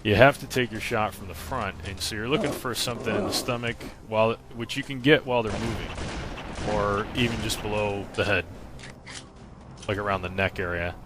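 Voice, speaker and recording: Male walkthrough narrator, low register, informal and instructional, clear game noise under the voice. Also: fairly quick. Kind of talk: casual explanatory gameplay commentary.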